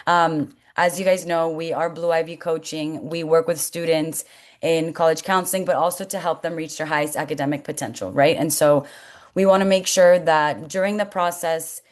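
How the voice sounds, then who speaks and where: A woman with a fairly low voice is hosting a webinar. She speaks in an encouraging, semi-formal manner, like a coach leading a group discussion. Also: steady tone, normal speed. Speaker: host, college coaching staff.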